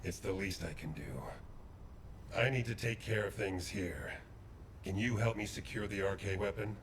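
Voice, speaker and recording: Guy playing serious steady army man in a game, deep voice, clear noise behind him. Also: lightly acted. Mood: earnest, urgent. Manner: serious, measured briefing. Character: steady, dutiful military man, serious and courteous. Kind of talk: video game voice acting. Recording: noisy game ambience behind the voice.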